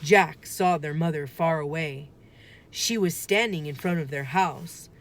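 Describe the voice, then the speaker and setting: A woman reading a children's story aloud in a deliberate, expressive narrating style. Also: low-pitched. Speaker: storybook reader.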